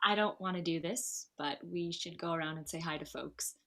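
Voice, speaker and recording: A woman's voice: fairly low pitch, normal speed, fairly bright tone. A woman playing a playful, affectionate young bride in a comedy table read, casual and teasing.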